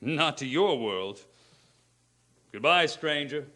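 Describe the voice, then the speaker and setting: A man playing a grave, haunted professor, narrating a radio play in a solemn, deliberate, theatrical way. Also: on the high side, expressive, bright, forceful tone, heavily acted. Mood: guarded, disillusioned. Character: grave, haunted male professor recounting his survival.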